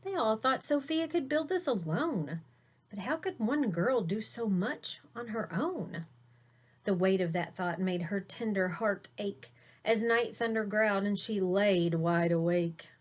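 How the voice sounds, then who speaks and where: A woman with a very unhurried delivery and a medium-pitched voice reads a picture book aloud. She sounds like a teacher addressing young children, phrasing expressively and explaining things in simple words. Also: expressive. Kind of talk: storybook read-aloud with explanatory asides.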